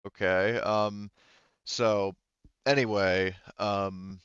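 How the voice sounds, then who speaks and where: A man talking casually as game master, halting and often backtracking as he addresses players. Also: lowish voice, steady tone, fairly bright tone, clear, resonant tone, no echo, muffled recording. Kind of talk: casual game-running talk.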